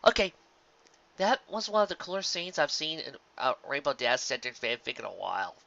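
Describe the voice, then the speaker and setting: A man reading a fan fiction story aloud as narrator, in an expressive storytelling style. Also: fairly high pitch, a little fast, gravelly, dull audio. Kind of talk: expressive story narration with occasional casual asides.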